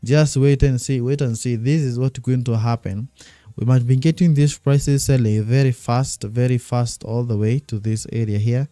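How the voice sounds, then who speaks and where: Man calling live gold trades, very dark voice. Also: medium pitch, steady tone, moderate pace, no room sound. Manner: repetitive, thinking aloud while watching charts. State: losing his voice. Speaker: day-trading streamer. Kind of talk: live trading commentary.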